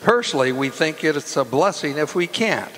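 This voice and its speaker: A man announcing in old-time radio style, reading scripted narration and sponsor copy in a formal pitchman manner. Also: animated, moderate pace. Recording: loud music under the voice. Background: loud music.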